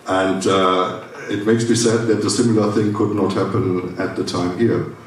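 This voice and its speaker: A man from Germany with a German accent, giving a formal welcome speech at a conference, pausing often with fillers and restarts. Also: sits low, little pitch movement, recorded from a distance. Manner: halting, many "uh" fillers and restarts. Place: conference hall. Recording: echoey hall, speaker well off the mic, faint hiss.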